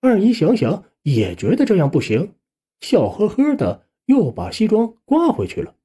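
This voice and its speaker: A narrator telling a scripted first-person story in an expressive, colloquial storytelling manner. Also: medium pitch, normal speed, breathy edge. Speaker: audiobook narrator.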